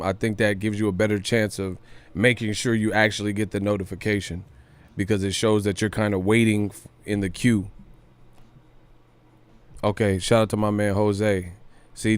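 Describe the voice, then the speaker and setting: Low-voiced man hosting a slangy boxing livestream. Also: level tone, moderate pace, smooth. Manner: slangy, hyped, swearing, repeats phrases for emphasis. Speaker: boxing news livestream host. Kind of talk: casual live-stream commentary.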